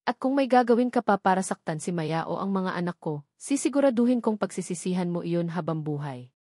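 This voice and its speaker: A woman's voice: on the low side, lively intonation, a little fast, warm tone, no echo. A woman voicing an angry young man in a melodramatic story, seething and accusing as he defends his children, in a dry recording. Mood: cold threat.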